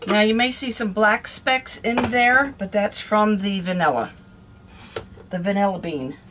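An older woman with a hoarse throat, chatting casually through a cooking vlog in a small camper kitchen. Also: medium pitch, unhurried, noisy background. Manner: chatty, homey, rambling. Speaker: cooking vlogger.

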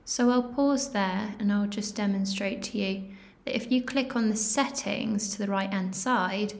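A woman instructor narrating a software tutorial in a measured, step-by-step manner, with pauses. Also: mid-range voice. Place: indoor screen-recording voice-over.

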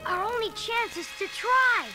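A woman voicing an earnest, excitable young boy who leads his friends on a treasure hunt in a storybook record. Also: very high voice, unhurried. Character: eager adventurous young boy leader. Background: music.

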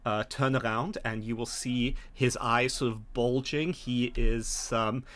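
A middle-aged man running a tabletop game as game master, narrating haltingly with frequent pauses over a video call. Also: medium pitch, steady tone, a bit bright. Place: home room, indoors.

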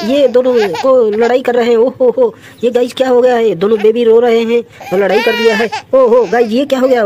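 A host narrating toy play for children in a playful, exclamatory way, full of repeated little cries of wonder. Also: medium pitch. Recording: very noisy background.

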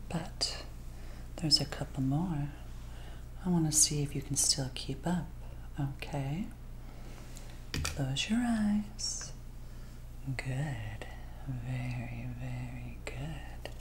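A woman's voice: very deep voice, animated, a little hiss or hum, exaggerated voice. A woman in her thirties playing a sinister, teasing doctor in a whispered, coaxing ASMR roleplay, close to the mic. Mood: softly coaxing. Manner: slow, hushed, coaxing. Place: dim living room at night.

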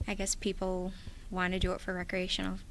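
A woman's voice: on the low side, monotone, a little fast, creaky, fairly bright tone, poor audio. A woman in her twenties giving tentative, casual interview answers into a handheld microphone. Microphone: handheld microphone held out by interviewer. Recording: faint background noise, clicks.

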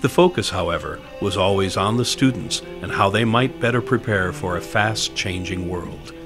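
An American man delivers scripted documentary narration with a somewhat low voice. His delivery is measured and deliberate, and music plays clearly behind him. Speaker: promotional video narrator.

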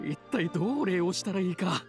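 An earnest boat captain from a video game. He is excitable and casual, with swooping pitch and faint music behind him. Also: fairly quick. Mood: grateful. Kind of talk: Japanese game voice acting.

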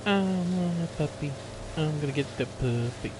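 A man's voice: on the high side, normal speed, background noise. Flippant man streaming a game. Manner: flippant, repetitive, swearing. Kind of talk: casual live gameplay commentary.

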